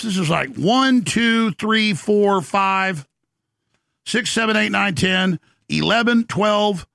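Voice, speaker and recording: A man's voice: high voice, vocal fry, a bit bright, dry recording, clean studio sound. A male talk show host ranting in heated political commentary. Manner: fast, agitated ranting. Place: studio in Texas.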